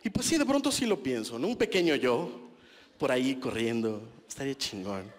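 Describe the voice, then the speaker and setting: A man's voice: medium pitch, very animated, normal speed, big-room sound. A Mexican man in his thirties doing a stand-up comedy set on a small club stage, speaking into a handheld mic in a conversational, self-mocking way with crude slang. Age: 30s.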